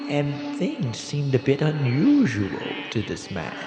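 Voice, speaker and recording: Old morgue attendant for radio horror-comedy: folksy, obliging, extremely drawn-out, wildly varied pitch, cavernous echo. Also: male voice, huge pitch swings, over loud music. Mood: musing. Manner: halting, theatrical, folksy drawn-out delivery. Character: eccentric, obliging old morgue attendant who fancies himself a storyteller. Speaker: storyteller narrator. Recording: echoey reverberant hall, faint noise.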